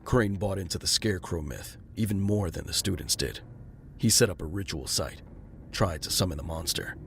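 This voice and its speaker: A man's voice: low voice, normal speed, gravelly, slight background noise. An actor playing a stern, protective masked vigilante in an audio drama, a man speaking in a grim, commanding manner. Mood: grave. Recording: loud music over the voice.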